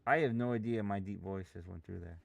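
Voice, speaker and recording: A man's voice: on the low side, fairly quick, gravelly, dry recording, faint music behind. Impatient, swearing day-trader man. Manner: restless and impatient, swears freely. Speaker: day trader streaming live. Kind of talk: casual live trading commentary.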